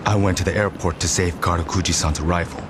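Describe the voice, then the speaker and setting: Loud vehicle noise surrounds a man acting as a grim, dutiful crime lieutenant in a game. His voice is very deep and even, formal and unyielding. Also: quick pace, lightly acted. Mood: stern, controlled. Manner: flat, formal, stern. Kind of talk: video game cutscene acting.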